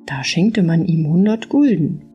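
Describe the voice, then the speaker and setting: A woman reading a scripted fairy-tale audiobook as narrator, with expressive storytelling. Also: lowish voice, a little slow, lo-fi sound, faint music behind.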